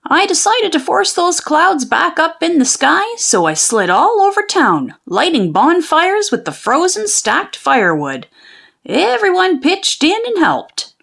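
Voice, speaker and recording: Lady reading a kids tall tale, super swoopy folksy delivery, slow with some creak. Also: high voice. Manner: expressive, folksy storytelling. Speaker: children's book read-aloud narrator. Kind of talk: animated storybook narration of a folksy tall tale.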